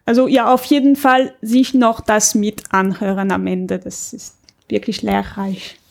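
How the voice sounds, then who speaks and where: A woman's voice: medium pitch, little pitch movement, measured pace, vocal fry. A woman, giving a casual review as a panelist on a podcast.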